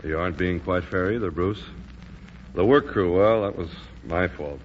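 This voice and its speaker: A man's voice: sits low, normal speed, lightly acted. An actor playing a kind, fatherly American squadron commander in an old-time radio drama. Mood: consoling. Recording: reverberant, dull, hissy vintage recording.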